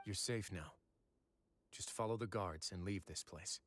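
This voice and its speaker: A calm, low-voiced prison warden. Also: male voice. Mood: commanding. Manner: measured, even explaining. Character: composed male prison-fortress administrator, calm. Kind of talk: video game voice acting.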